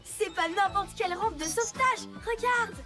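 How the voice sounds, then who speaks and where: A woman's voice: very high-pitched, moderate pace. A woman voicing a bubbly, playful young boy motorbike sidekick in a French children's cartoon, chatty and easily amazed. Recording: loud music behind the voice.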